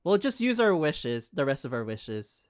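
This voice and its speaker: A man chatting casually on a gaming livestream in an informal, excitable way, often repeating his phrases. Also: on the high side, moderate pace, very noisy. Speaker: game streamer.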